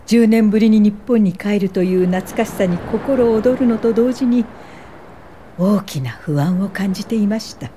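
An older woman, an actress in the role, narrates an earnest memoir for a radio drama. Her voice is mellow and soft-edged at a middle pitch, with faint noise behind it. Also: moderate pace, lightly acted. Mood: reflective. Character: earnest Japanese woman social worker recalling her life. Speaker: narrator and lead actor.

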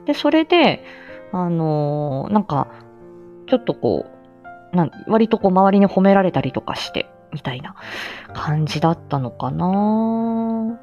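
A woman with a deep, mature voice chats casually and alone as a live host. She feels her way through sentences in a modest, halting manner, often chuckling between phrases. The audio is slightly muffled, with soft music underneath. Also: sing-song. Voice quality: mature, low-set voice. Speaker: live stream host and amateur voice actor. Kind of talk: casual rambling solo chat. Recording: dull lo-fi audio, faint music behind.

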